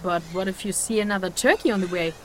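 A woman's voice: mid-range voice, quick pace, a little hiss or hum, lightly acted. A woman playing a hungry, grumbling human adventurer in a fantasy audio drama, talking in casual modern slang with a whiny, sardonic edge. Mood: wry.

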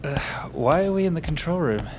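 A man's voice: a little high, lively intonation, noisy background. A man playing a jittery, hesitant tech operator under strain in an audio drama. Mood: dazed. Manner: halting, informal.

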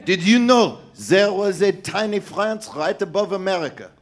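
A man's voice: sits high, animated, moderate pace. A man playing a brash Brooklyn man who puts on a fake French accent and keeps asking "how you say". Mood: smug. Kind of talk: improv comedy bit.

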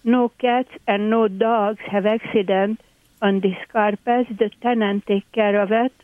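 A woman's voice: mid-range voice, fairly even intonation, unhurried, no echo. A woman in her eighties with a Hungarian accent, chatting as a landlord guest in a radio interview, speaking chatty broken English. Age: 80s. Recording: tinny phone line.

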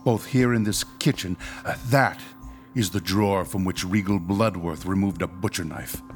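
Stern, low-voiced ghost-hunting doctor. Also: male voice, moderate pace, soft background music. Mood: grave, ominous. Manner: formal, precise, pedantic. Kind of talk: radio horror drama acting.

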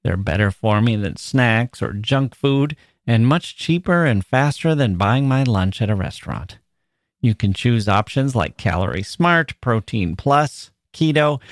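A man presents a podcast in a mid-pitched voice with a very dry, close sound. He reads to listeners casually, with a playful touch of mock seriousness. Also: no room sound. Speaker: podcast host. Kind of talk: casual, scripted ad reads and listener email reading.

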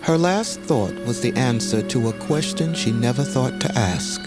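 A man narrating a mythic legend as a solemn storyteller, in a measured, lyrical manner. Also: medium pitch, animated, loud music behind the voice, close to an everyday voice. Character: solemn male storyteller recounting an ancient legend.

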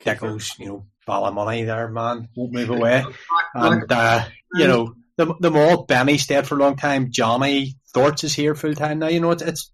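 A man's voice: medium pitch, fairly even intonation, normal speed. A man, co-hosting a podcast in rambling, chatty fashion. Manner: rambling, chatty, laughs mid-sentence. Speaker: podcast co-host. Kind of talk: casual podcast chat.

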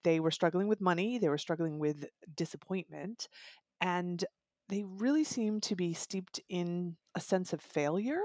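A woman's voice: fairly low pitch, darkish tone, dry recording, studio quality. A woman giving a conversational book review as part of a discussion. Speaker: audiobook reviewer and podcast guest.

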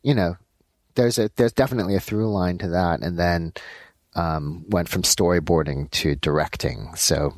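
A man from Los Angeles who works as an animation director, answering interview questions in a halting way with many fillers. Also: low voice, no room sound. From Los Angeles, United States. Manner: halting, many ums and uhs.

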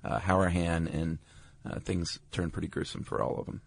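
A man's voice: sits low, gravelly, dry recording. A man co-hosting a podcast, talking casually with frequent fillers. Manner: relaxed, halting with many fillers. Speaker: podcast co-host. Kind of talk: casual chat.

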